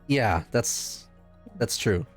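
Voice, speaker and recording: A man's voice: medium pitch, animated, very slow. A man chatting casually as a player in a tabletop roleplaying game, thinking aloud as he goes. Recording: music under the voice.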